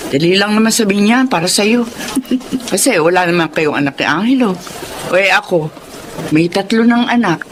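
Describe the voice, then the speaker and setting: A woman's voice: medium pitch, moderate pace, long pauses, a little hiss or hum, close to an everyday voice. A woman playing a blunt, sarcastic, fed-up wife and mother in a Filipino radio drama. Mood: blunt, confiding. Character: sharp-tongued, fed-up wife and mother of three.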